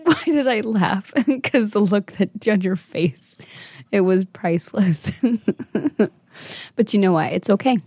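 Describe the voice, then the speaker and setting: A woman's voice: medium pitch, lively intonation, slow, darkish tone, husky, no echo. A woman in her thirties hosting a casual chat with her daughter, affectionate and motherly, laughing often. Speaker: podcast host and mother.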